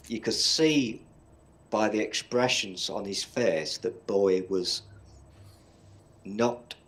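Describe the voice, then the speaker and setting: A middle-aged man with a Northern English accent and a low-pitched voice. He chats in a loose, rambling way, blunt and quick to swear as he tells his stories. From Northern England. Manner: blunt, swears freely, meandering storytelling. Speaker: podcast co-host. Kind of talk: casual chat.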